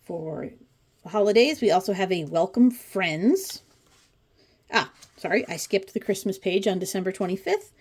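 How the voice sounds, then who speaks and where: A woman's voice: mid-range voice, expressive, moderate pace, a little mellow, husky. Casting: woman in her forties, mid-range voice, informal craft host with a chatty, dryly humorous delivery. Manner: chatty, wry asides. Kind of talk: casual commentary on a pattern book flip-through.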